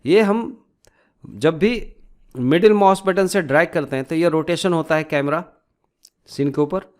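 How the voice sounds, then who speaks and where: A man giving an informal step-by-step software tutorial, explaining as he goes and often checking with little tag questions. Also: fairly high pitch, unhurried.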